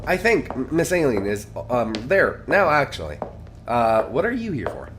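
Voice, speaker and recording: A man's voice: mid-range voice, huge pitch swings, normal speed, music in the background. A man playing a nervous, deferential junior police officer in an audio drama, hesitant and stammering.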